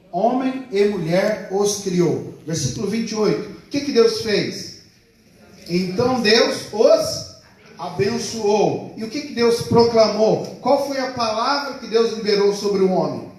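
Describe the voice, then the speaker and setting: High-voiced man preaching in an echoey hall. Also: a little mellow. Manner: call-and-response preaching, stretches key words slowly. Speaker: pastor. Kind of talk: sermon. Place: church hall. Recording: echoey hall, mic far off.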